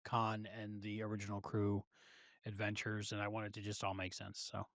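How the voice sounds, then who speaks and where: A man's voice: on the low side, completely flat delivery, moderate pace, fairly bright tone, dry recording, clean studio sound. A man co-hosting a podcast, chatting casually and thinking aloud in a tentative, speculative way. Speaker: podcast co-host. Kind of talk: casual review chat.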